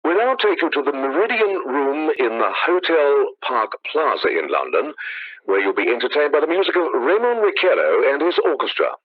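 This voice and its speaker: A man acting a formal, measured 1930s radio news announcer in a radio play. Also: on the high side, big pitch swings. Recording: dull lo-fi radio sound.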